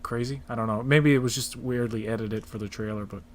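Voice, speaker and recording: A man's voice: medium pitch, steady tone, a little fast. A man chatting casually and enthusiastically, with strong opinions, as a panelist on a pop culture show. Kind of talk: casual chat about movie trailers.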